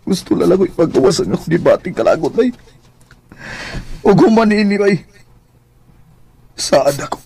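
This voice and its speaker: A man's voice: sits high, expressive, normal speed, creaky, pauses often, darkish tone, breathy edge, heavily acted. An actor playing an intense, emotional man who is hurt and resentful, in a radio drama. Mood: frustrated, resolute. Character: wounded, resentful man named Jerry, a returned overseas success.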